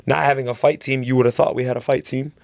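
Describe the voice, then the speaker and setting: A man's voice: medium pitch, level tone, fast, creaky, darkish tone, no room sound. A man from San Antonio, a coach rambling casually on a podcast, full of slang and "bro". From San Antonio, Texas. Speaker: guest striking coach. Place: podcast studio.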